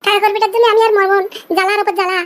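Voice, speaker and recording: Squeaky, pitched-up nagging cartoon wife. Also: male voice, little pitch movement, rapid-fire, a bit bright. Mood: grumbling, scolding. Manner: whining, scolding. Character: nagging, sharp-tongued young village wife Shefali. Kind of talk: amateur comedy cartoon dubbing. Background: music behind the voice.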